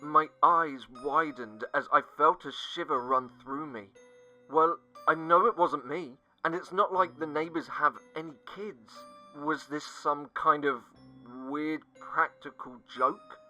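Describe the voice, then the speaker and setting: A man narrating a scripted first-person horror story, dramatic and sinking to hushed tones at the tense moments. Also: fairly high pitch, unhurried, dull audio. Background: faint music.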